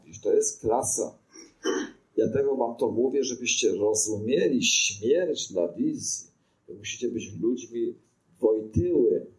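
A man's voice: lowish voice, darkish tone, low-quality recording. An older man, a priest, giving an informal teaching talk into a handheld mic, telling anecdotes and putting rhetorical questions to his listeners. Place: small studio in front of a brick wall. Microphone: handheld microphone.

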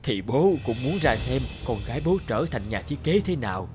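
A man playing a humble, middle-aged country father in a cartoon, speaking gently and haltingly. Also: mid-range voice, big pitch swings, music in the background, close to an everyday voice. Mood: humble, apologetic. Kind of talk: cartoon dialogue acting.

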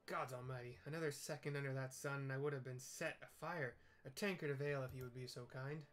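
A man plays a tiny old retired guard from a fantasy video game. He is effusively courteous and archaic in his speech, a little raised in pitch, with music underneath. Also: steady tone, quick pace, a bit bright, lightly acted. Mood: parched. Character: courtly old male tiny-folk former royal guard.

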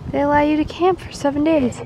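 A woman's voice: high voice, steady tone, fast, very noisy. A young woman chatting playfully on a travel vlog, teasing and giggling, recorded on a handheld camera. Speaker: vlogger. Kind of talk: casual travel vlog chat. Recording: camera audio with clear music behind.

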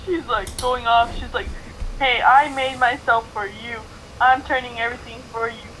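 A woman's voice: a little high, fairly even intonation, moderate pace, a bit bright, soft background music. A woman chatting casually as a friend reacting along on an online voice call. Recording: slightly noisy voice call.

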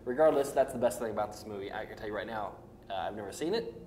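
A man's voice: fairly low pitch, rapid-fire, a crowd in the background. A middle-aged American man co-hosting a casual geek chat show, often hesitating and repeating himself. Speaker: co-host of a geek talk show. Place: indoor room at a table. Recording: roomy echo, chattering background.

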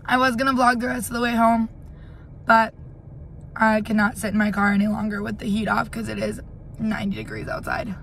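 A woman's voice: fairly high pitch, flat delivery, brisk. A young woman in her twenties chatting casually while she drives, rambling and poking fun at herself, recorded on a phone camera inside her car. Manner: rambling, self-mocking, dramatic sighs. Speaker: vlogger. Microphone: phone camera on the dashboard. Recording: loud road and engine noise.

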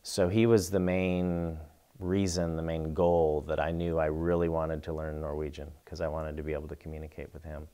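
A middle-aged man seated in a small studio, answering interview questions in a thoughtful, measured way with pauses and restarts. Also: extremely low pitch, level tone, warm tone. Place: indoor studio before a plain backdrop.

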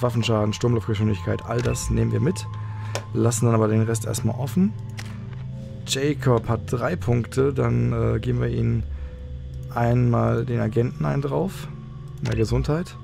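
A man's voice: medium pitch, vocal fry. A man giving casual let's play commentary as the host.

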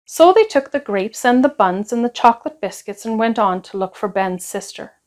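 High-voiced, animated woman reading stories. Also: normal speed. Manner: expressive, acts out dialogue. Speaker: storyteller reading a picture book. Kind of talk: animated children's story read-aloud.

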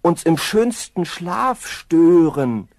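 An actor playing a lazy, slow-witted young farm lad in a children's fairy-tale radio play, musing in sluggish, self-satisfied hums. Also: male voice, fairly high pitch, sing-song, a little mellow, no room sound, clean studio sound, exaggerated voice. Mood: musing, complaining.

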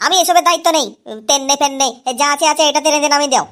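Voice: sits high, steady tone, racing, noisy background, natural voice. An actor voicing an irritable, lazy cartoon husband who grumbles, swears and brushes things off in comic style. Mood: irritated, dismissive. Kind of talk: exaggerated comedy cartoon voice acting.

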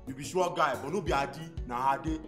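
A man's voice: on the high side, a little fast, close to an everyday voice. A young Nigerian man playing a brash, teasing student in a Pidgin radio drama. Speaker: actor. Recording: music under the voice.

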